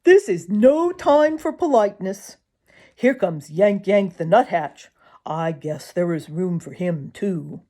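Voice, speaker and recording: A woman's voice: medium pitch, lively intonation, takes it slowly, warm tone. Woman as a mumbling, hearty hungry woodpecker. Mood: brisk, welcoming. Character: hungry, gruffly generous male woodpecker, mouth full. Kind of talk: children's storybook character voice.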